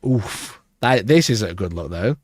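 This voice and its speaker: A man with a rough, hoarse voice, chatting casually on a livestream and rambling with frequent restarts. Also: fairly low pitch, animated, measured pace, no room sound, studio quality. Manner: rambling, restarts mid-sentence. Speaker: livestream host.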